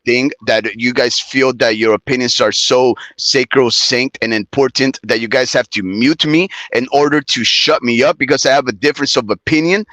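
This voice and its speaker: A man's voice: fairly bright tone, no echo. A man on a casual boxing podcast, arguing indignantly with long, wordy sentences. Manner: indignant, wordy, pitch jumps up when protesting. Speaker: podcast co-host. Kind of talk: casual heated debate.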